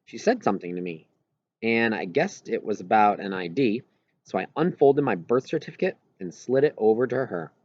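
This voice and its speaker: A man's voice: on the low side, expressive, moderate pace, darkish tone, lo-fi sound. A man reading a children's novel aloud in first-person narration, as an audiobook reader.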